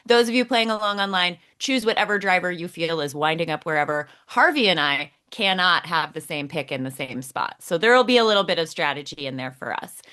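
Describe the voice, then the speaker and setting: A woman's voice: fairly low pitch, expressive, fairly quick, hardly any pauses, pushed and bright. A woman co-hosting a podcast, explaining things in a conversational presenting style. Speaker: podcast co-host.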